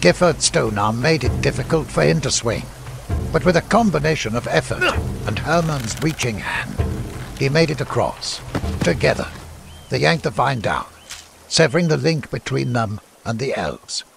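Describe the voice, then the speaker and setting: A man's voice: mid-range voice, very animated, normal speed, vocal fry. A man narrating a fantasy audiobook in a scripted, dramatic storytelling style. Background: music.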